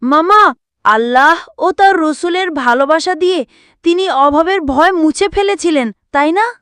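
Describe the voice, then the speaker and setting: A woman voices a pious little cartoon boy with an extremely high voice. He speaks earnestly and reverently in scripted lines. The sound is dry and close. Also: unhurried, a bit bright, no echo. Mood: curious, earnest. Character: earnest, pious young boy listening to stories. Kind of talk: scripted Islamic cartoon dialogue.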